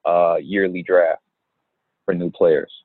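Very deep, flat-voiced young man on a tinny line. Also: slightly rough, no echo. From Gary, Indiana. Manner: unhurried, with many "um" and "uh" pauses. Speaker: basketball player, interviewee. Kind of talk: relaxed interview answers.

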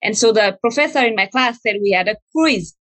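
Earnest woman, fairly high voice. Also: expressive, fairly bright tone, no room sound. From Nepal. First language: Nepali. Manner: earnest and reflective, with frequent pauses and fillers. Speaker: speaker sharing a personal testimony. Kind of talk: personal storytelling talk.

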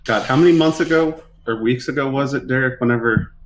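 A man's voice: mid-range voice, a little fast, a little hiss or hum, poor audio. A man playing a tabletop roleplaying game, chatting casually in character with the group.

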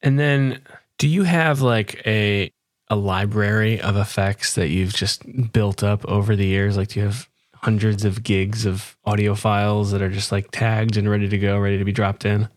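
A man's voice: lowish voice, fairly even intonation, moderate pace, no echo, studio quality. A man hosting a casual interview, asking inquisitive questions and listening attentively. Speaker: podcast host, interviewer.

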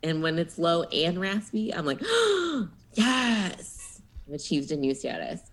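A woman co-hosting a casual podcast, her voice set low for a woman yet swinging widely in pitch. She talks in an animated, giggly way, spinning quick storytelling asides. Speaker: podcast co-host. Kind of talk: casual chat.

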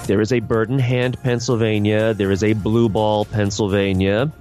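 A man's voice: on the low side, normal speed, faint music behind. An American man co-hosting a podcast, chatting casually and joking with his co-host. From United States. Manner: animated, joking, laughs. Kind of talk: casual chat.

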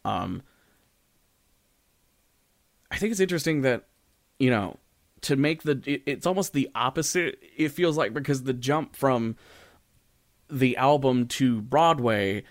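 A man's voice: medium pitch, lively intonation, unhurried, pauses often, dry recording, clean studio sound. A man co-hosting a podcast, talking informally and thinking aloud in a casual discussion. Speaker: podcast co-host. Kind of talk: casual discussion of storytelling in audio and stage.

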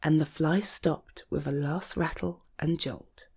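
A woman speaks in a lowish, husky voice with plenty of creak. She takes her time, soft and affectionate, in the intimate, coaxing style of a personal bedtime reading. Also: fairly even intonation, measured pace. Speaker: audio host reading a bedtime story to the listener.

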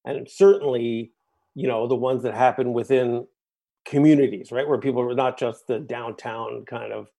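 A man's voice: mid-range voice, steady tone, normal speed, creaky, dark tone. A man from the United States, a musician being interviewed, talking in a reflective, discursive way and often checking in with "right?" and "you know". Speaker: guest musician.

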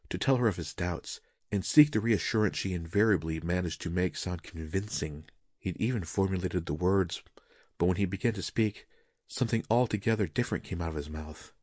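Male audiobook narrator, low-pitched, measured, solemn, dramatic, scripted fiction, dry close sound. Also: a little mellow, no echo.

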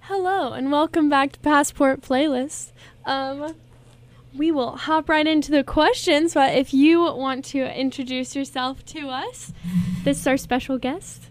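A woman co-hosts a podcast with a high, bright-sounding pitch. She asks friendly questions and fills every pause with quick words of encouragement. She is from the southern United States. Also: steady tone, slight background noise. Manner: effusive, quick encouraging interjections. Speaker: co-host. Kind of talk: casual interview.

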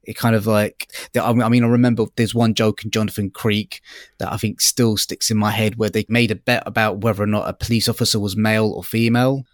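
A man's voice: lowish voice, little pitch movement, fast, dry recording, clean studio sound. A man co-hosting a podcast, chatting casually in a hesitant, rambling way as he thinks aloud. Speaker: podcast co-host reviewing audiobooks. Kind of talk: casual discussion.

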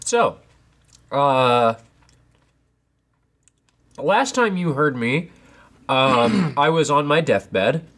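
A young man co-hosting a casual podcast from a home basement, a bit congested, animated and self-deprecating. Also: medium pitch, very slow, pauses often. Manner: animated, self-deprecating, sips tea while talking. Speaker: podcast co-host. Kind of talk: casual chat.

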